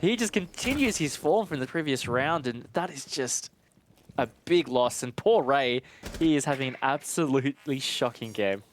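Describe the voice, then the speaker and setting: A man with a medium-pitched voice offers analytical esports commentary. He talks in a measured, conversational manner over faint background noise. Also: expressive.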